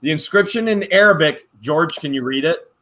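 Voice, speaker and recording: A man co-hosting a casual vlog, opening fan mail and reading letters aloud with playful, animated jokes. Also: on the high side, normal speed. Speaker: channel co-host.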